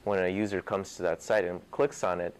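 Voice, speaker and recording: A man with a Ukrainian accent explaining his startup in a news interview clip, speaking slowly and carefully. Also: low voice, flat delivery, clear, resonant tone.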